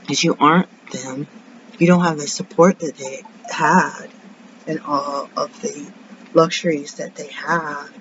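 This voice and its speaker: A woman with a raspy, deep voice rambles through a casual vlog, drifting from one tangent to the next as she vents. The recording is dull and lo-fi, with a faint hiss underneath. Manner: rambling, tangent-prone, venting. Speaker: vlogger. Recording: dull lo-fi sound with faint noise.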